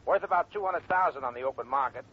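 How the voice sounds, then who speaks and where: An actor playing a brisk male insurance company boss, giving the facts in a matter-of-fact way in a 1950s radio drama. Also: medium pitch, slightly rough, close to an everyday voice. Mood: businesslike. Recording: dull lo-fi 1950 radio broadcast with faint hiss.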